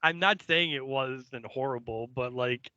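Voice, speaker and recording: Slow, halting man with a very sharp voice. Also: mid-range voice, smooth, dry recording, dull audio. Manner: halting, hesitant, foul-mouthed. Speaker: podcast co-host. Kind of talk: casual episode recap.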